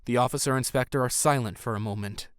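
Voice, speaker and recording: A man's voice: medium pitch, quick pace, clean tone, no echo, studio quality. A man reading scripted narration as the narrator of an audio drama.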